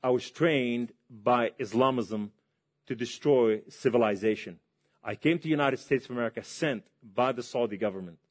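Male preacher from Lebanon, mid-pitched voice, fervent testimony sermon, big swings in volume. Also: moderate pace. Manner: impassioned, swings between loud and hushed.

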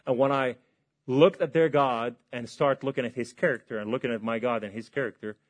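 Male preacher from Lebanon, mid-pitched voice, fervent testimony sermon, big swings in volume. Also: fairly even intonation, fairly quick. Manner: impassioned, swings between loud and hushed.

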